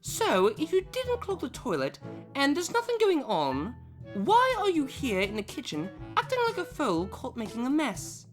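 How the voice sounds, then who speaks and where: A prim, cultured female pony, played by a woman, speaks high and with sweeping intonation. She is formal and exact, with dry menace under the politeness, as in a comic audio drama over faint music. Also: wildly swooping pitch, moderate pace. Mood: dry suspicion. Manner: formal, precise, drily menacing. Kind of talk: scripted comedy fan audio drama.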